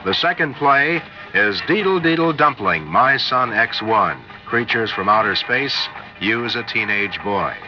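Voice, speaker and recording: A man's voice: lowish voice, lively intonation, a little slow. Male station announcer, muffled, over music. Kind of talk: scripted programme announcement, 1960s radio. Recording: muffled old radio, music behind.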